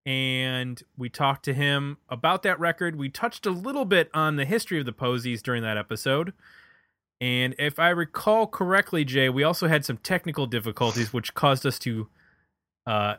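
A man's voice: medium pitch, sharp tone, dry recording. A middle-aged man chatting casually as the host of a music podcast.

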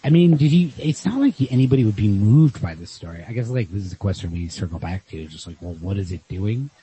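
A man with a husky voice thinking aloud in a casual, rambling literary discussion as a podcast co-host. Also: fairly low pitch, animated, quick pace, warm tone, slight background noise. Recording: lo-fi podcast audio.

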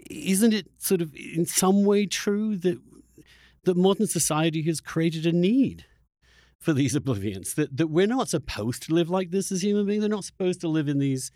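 A man hosting a conversational interview, thinking aloud in halting, searching phrases that he often rewords. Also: sits high, expressive, mellow, dry recording, studio quality. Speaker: podcast host interviewing a guest.

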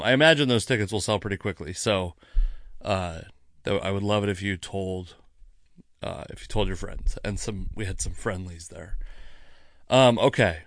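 Low-voiced American man rambling on a podcast. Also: fairly bright tone, dry recording, clean studio sound. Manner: rambling, self-deprecating, profane. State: mildly tipsy after a few drinks. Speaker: comedian and podcast host. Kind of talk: casual solo podcast monologue.

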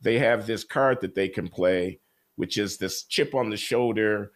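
A man questioning his guest in a probing, measured way, pausing with hesitations as he builds his point. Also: on the low side. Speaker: interviewer. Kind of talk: conversational discussion.

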